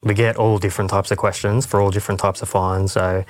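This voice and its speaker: Coordinator, male, Western Sydney: flat delivery, measured and plain. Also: fairly low pitch, no echo. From Western Sydney, Australia. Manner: steady, measured, with some fillers. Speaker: senior coordinator. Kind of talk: radio interview answers.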